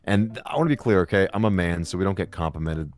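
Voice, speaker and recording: A young man with a deep voice, telling rambling, crude, swear-filled stories in a casual chat. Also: quick pace, a little mellow, soft background music. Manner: crude, rambling storyteller who swears a lot.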